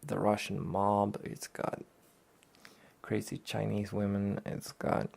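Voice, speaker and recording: A soft-spoken man with a breathy, gravelly voice, giving a casual, low-key review as a rambling, hesitant talk show host. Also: completely flat delivery, slow pace.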